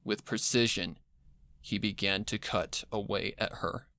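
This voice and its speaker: A man reading a dark horror story as a dramatic scripted narration. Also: fairly low pitch, fairly even intonation, measured pace, very noisy. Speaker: fan fiction narrator.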